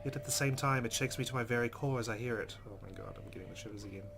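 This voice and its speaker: A man playing a game, reading its text aloud dramatically and reacting in a jumpy, expressive way. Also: mid-range voice, very fast, gravelly. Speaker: let's player. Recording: loud game sound behind voice. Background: game audio.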